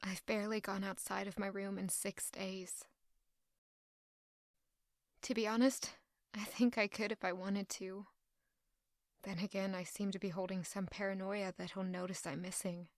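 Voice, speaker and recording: A woman's voice: medium pitch, level tone, normal speed, pauses often, no room sound, studio quality, close to an everyday voice. An actress playing an anguished, proud young court lady in an audio drama, brooding intimately in a dramatic first-person monologue. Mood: weary, brooding. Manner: intimate, brooding, emotionally intense.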